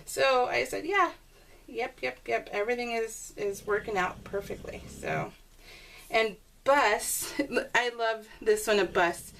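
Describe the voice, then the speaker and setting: A woman's voice: a little high, big pitch swings, takes it slowly, a bit bright. Rambling, chatty woman on a noisy recording. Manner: rambling, self-interrupting, giggly. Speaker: craft video maker showing scrapbook pages. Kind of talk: casual chatty voice-over, talking to viewers. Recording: clearly noisy home recording with clicks.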